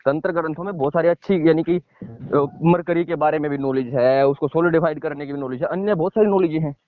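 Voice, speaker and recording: High-pitched man explaining emphatically. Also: a little fast, no echo. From village in India. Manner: emphatic, repetitive, checks listener with short tag questions. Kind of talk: informal explanatory phone talk. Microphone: phone call. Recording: dull lo-fi phone call recording.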